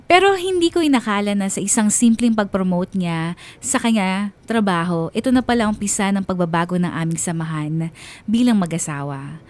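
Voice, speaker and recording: A woman's voice: mid-range voice, lively intonation, a little fast, close to an everyday voice. An actress playing a devoted, wistful wife of thirty-four who narrates her own story in a radio drama. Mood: wistful, troubled. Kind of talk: dramatized first-person letter narration. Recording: music bed behind the voice.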